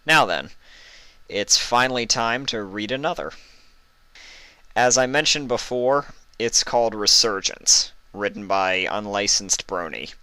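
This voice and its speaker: A man reading stories as a host, with expressive narration into a close microphone. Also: on the low side, a little slow, clean tone, dry recording. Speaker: story reader and channel host. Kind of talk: expressive scripted storybook narration with casual introduction.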